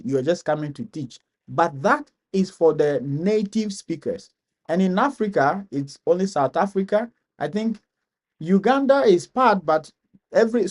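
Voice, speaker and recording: A man from Ghana hosting a live stream Q&A, explaining steps slowly and repeating key points. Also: fairly high pitch, darkish tone. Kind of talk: live Q&A advice.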